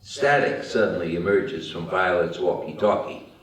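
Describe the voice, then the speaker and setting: A man's voice: low voice, expressive, normal speed, a bit bright. A man narrating the stage directions of a screenplay at a table read. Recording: roomy, distant mic.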